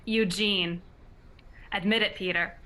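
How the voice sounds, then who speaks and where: A woman voices a teasing young college woman with a bright, forward tone and an easy, wry delivery. Her pitch sits in the middle range. The line readings are dry and knowing. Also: fairly even intonation, slow. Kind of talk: script reading as radio play.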